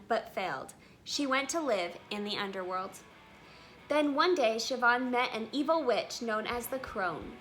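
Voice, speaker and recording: A woman hosting a children's read-aloud, telling a storybook in an animated, expressive way with emphatic exclamations. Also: fairly high pitch. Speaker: read-aloud channel host. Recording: music behind the voice. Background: music.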